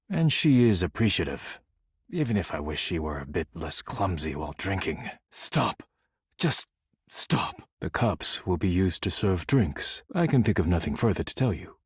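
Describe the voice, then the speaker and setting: A male actor playing a stern, proud, easily offended dishware character in a video game, speaking formally and curtly with solemn deadpan. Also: sits low, husky, dry recording, clean studio sound. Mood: formal, measured. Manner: formal, curt, deadpan, solemn, avoids contractions.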